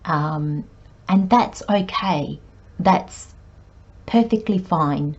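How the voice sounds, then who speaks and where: A woman giving a tarot reading with a croaky voice, talking in an unhurried, meandering way with many pauses and fillers. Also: fairly low pitch, highs cut off. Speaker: tarot reader. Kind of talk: spoken tarot reading monologue.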